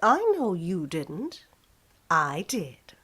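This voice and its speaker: A woman acts a catty, scheming rival in an old radio comedy. She speaks slowly, with syrupy condescension and big melodic swoops. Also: fairly low pitch, sing-song, a bit bright, exaggerated voice. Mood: gloating. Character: catty, scheming, falsely sweet female rival teacher. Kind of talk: vintage radio comedy acting.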